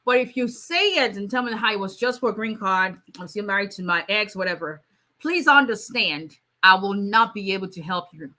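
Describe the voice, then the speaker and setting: Woman immigration attorney, bright voice, casual live Q&A. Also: medium pitch, animated, normal speed. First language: Yoruba. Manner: conversational, many fillers, reads out viewer questions. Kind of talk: live Q&A stream.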